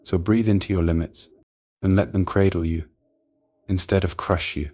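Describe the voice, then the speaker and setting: A man reading a scripted bedtime prayer meditation in a soft, slow, soothing way. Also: sits low, fairly even intonation. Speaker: devotional narrator.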